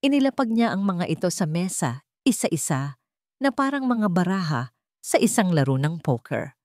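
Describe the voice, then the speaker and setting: A woman narrating a scripted dramatic story in measured, suspenseful, deliberate phrases. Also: on the low side, big pitch swings, darkish tone, dry recording, clean studio sound.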